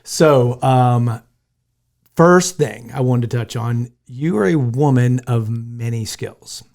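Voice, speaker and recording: This is an American man hosting a podcast interview. He talks in a friendly, easygoing way and often jokes. His voice sits at an ordinary middle pitch. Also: slow pace, vocal fry, a little mellow. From United States. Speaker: podcast host. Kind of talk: casual interview chat.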